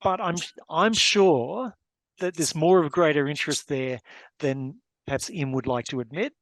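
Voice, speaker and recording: A man moderating an online panel in a rambling, loosely casual way, circling back and correcting himself as he frames his questions. His voice sits a bit high for a man, and the video-call sound is notably dry, with no room around it. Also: normal speed, dry recording. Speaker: webinar moderator. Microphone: video call microphone.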